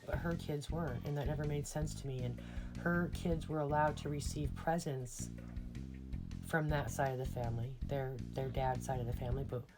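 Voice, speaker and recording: Middle aged american lady rambling thru her own memories, conversational, guitar music clearly playing behind her. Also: extremely low pitch, fairly even intonation, moderate pace. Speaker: personal storyteller. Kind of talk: casual autobiographical monologue.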